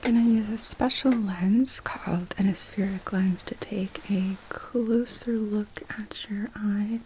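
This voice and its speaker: A woman speaking in a soft, breathy whisper, gently and unhurriedly narrating each step as an optometrist in an ASMR medical roleplay. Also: mid-range voice, steady tone, takes it slowly, a little hiss or hum, poor audio. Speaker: ASMR performer playing an optometrist.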